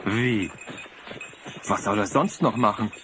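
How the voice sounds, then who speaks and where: An actor playing a naive, vain young sled driver in a German audio drama, earnest in delivery. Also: male voice, on the low side, slow, a bit bright. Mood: proud, enthusiastic. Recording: slightly noisy cassette audio drama.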